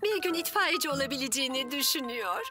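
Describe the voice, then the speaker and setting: A woman voicing a doting, excitable middle-aged cartoon mother, gushing and dramatic. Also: high-pitched, quick pace. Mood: proud, gushing. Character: doting, excitable cartoon mother bragging about her son. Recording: loud cartoon music behind.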